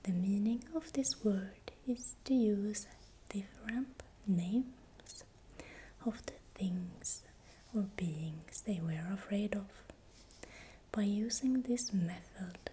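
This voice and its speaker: A woman narrating in ASMR style in a breathy, unvoiced whisper, speaking softly and deliberately. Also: mid-range voice, slight background noise. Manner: slow, deliberate, repeats words softly. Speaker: ASMR creator narrating folklore.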